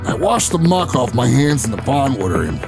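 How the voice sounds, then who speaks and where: A man acts out a brash ex-wrestler who hosts an investigative show in an audio drama. His voice is gravelly and swings widely in pitch. He is gruff, cocky and quick to anger, with music playing underneath. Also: big pitch swings. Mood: gritty, boastful. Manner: gruff, cocky, plain-spoken bravado. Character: brash, hot-tempered ex-wrestler turned investigative radio show host. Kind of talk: audio drama narration and dialogue.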